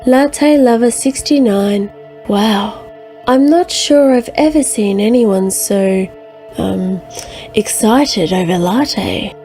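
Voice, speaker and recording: A woman playing an unflappable New Age advice columnist, reading letters in a deadpan comic way. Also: medium pitch, takes it slowly, soft background music. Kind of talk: reading listener letters, satirical.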